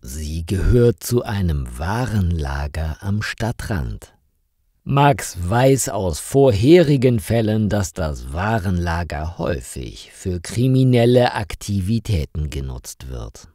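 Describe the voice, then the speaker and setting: Male narrator, low voice, very expressive melody, measured pace for learners. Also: dry recording, clean studio sound. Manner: clear, deliberate storytelling delivery. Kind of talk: slow scripted story narration for language learners.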